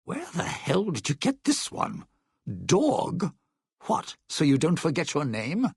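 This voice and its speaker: An actor playing a smug, suspicious old lounge singer and thief in a video game, with a raspy, gravelly voice and a sardonic showman's delivery. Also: fairly high pitch, wildly swooping pitch, takes it slowly, no echo, studio quality, full character voice. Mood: wary, mocking. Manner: sardonic, slangy showman's patter. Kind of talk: in-game character dialogue and epilogue narration.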